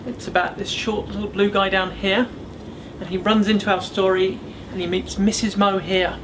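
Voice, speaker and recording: A man reading his own picture book aloud as the author, in an expressive storytelling style for children. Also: sits high, moderate pace. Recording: faint background noise.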